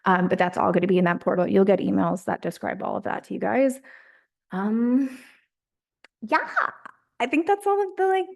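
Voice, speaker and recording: A woman's voice: medium pitch, creaky. A woman hosts a group coaching call over a video link. She talks in a bubbly, effusive way, full of casual fillers, as she welcomes members and explains the program.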